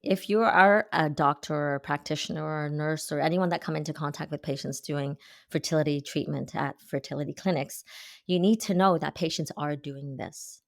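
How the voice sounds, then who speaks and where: A woman's voice: low voice, fairly even intonation, normal speed, vocal fry, no echo, studio quality. A woman in her fifties, an acupuncturist giving earnest, explanatory answers in an interview. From Canada. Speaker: guest, acupuncturist.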